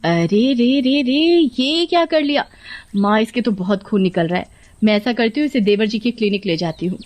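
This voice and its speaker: Woman as high-voiced fussy sister-in-law. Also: lively intonation, normal speed, darkish tone, close to an everyday voice. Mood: alarmed. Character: complaining elder sister-in-law in the household.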